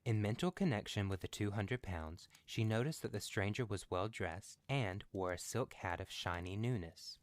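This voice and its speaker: Man, lowish pitch, podcast narrator, scripted storytelling. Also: lively intonation, moderate pace, hardly any pauses.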